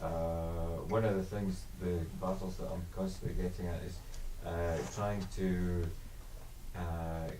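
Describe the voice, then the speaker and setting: A man's voice: sits low, level tone, slow pace, mellow. A man in the audience asking questions hesitantly, searching for his words. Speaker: audience member. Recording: roomy and distant with faint noise.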